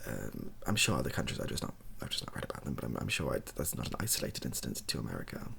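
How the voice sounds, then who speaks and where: A young man speaking softly and breathily in a casual, rambling monologue, hesitant and self-deprecating as he gives his opinions. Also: very deep voice, very animated, very fast, a little hiss or hum. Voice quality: soft, husky, breathy. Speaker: online video creator giving opinions.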